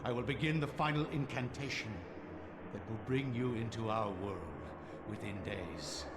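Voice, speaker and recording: An actor playing a sinister sorcerer who serves a dark master, speaking in a grandiose, ceremonial way in a video game. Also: male voice, mid-range voice, natural voice. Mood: reverent, ominous. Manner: slow, grandiose, ceremonial delivery. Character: sinister male sorcerer servant reporting to his dark master. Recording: echoing voice over loud ambient noise.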